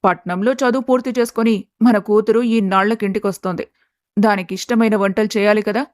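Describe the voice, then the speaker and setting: A woman's voice: mid-range voice, fairly even intonation, fairly quick, darkish tone, no echo, clean studio sound, close to an everyday voice. A woman playing a practical, caring middle-aged village mother in a dramatised story, motherly and chiding. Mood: calm, content. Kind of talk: dramatised moral story acting.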